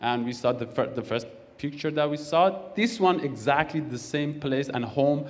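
A man's voice: on the high side, normal speed. A man from Iran whose first language is Kurdish, speaking plain English with a Kurdish accent and many fillers as a guest on a church stage. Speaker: church leader. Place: large church hall. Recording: echoey church hall.

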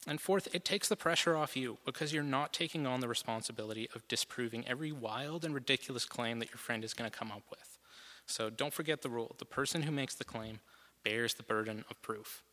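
A man presenting part of a church lesson from notes, steady and methodical, with some fillers. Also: mid-range voice, a little fast, big-room sound. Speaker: guest presenter.

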